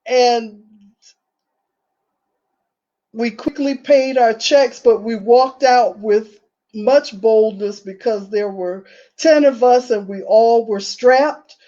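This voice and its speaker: Slow-talking Southern woman, muffled call audio. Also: on the high side, warm tone. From American South. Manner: slow, deliberate storytelling. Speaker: panelist. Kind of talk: storytelling in a panel discussion.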